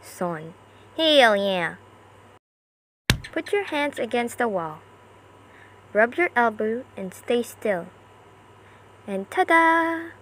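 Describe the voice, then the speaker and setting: A woman's voice: on the high side, sing-song, very slow, pauses often, a bit bright, a little hiss or hum. A woman doing an amateur comic dub, acting out short character lines theatrically in switching voices.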